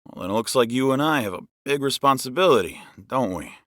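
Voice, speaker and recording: A man playing a smooth-talking, loyal rogue guide in a fantasy drama. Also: mid-range voice, lively intonation, a little fast, fairly bright tone, no echo, studio quality, lightly acted. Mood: earnest. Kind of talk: fantasy audio drama acting.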